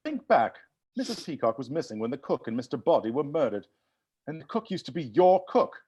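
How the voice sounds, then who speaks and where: A man playing a brisk, theatrical butler who unravels murders with animated, accusing declamation in a read-through of a film script. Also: medium pitch, husky, muffled recording.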